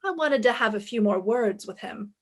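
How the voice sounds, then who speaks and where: A woman's voice: a little high, expressive, moderate pace. A woman playing a sassy, confident private detective in a 1950s-style radio drama, breezy, wry and commanding. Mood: wry narrating.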